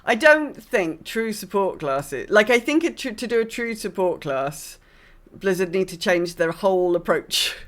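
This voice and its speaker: A woman co-hosting a podcast, chatting casually about video games in an informal, opinionated way, with some mild swearing. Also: low-pitched. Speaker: podcast co-host.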